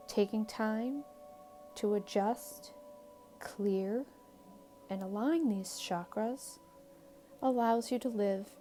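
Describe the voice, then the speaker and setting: A woman guides a meditation at an extremely unhurried pace, with music playing behind her. Her voice is soft-toned and mellow. She speaks calmly and soothingly in short phrases, leaving long pauses between them. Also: medium pitch. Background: background music.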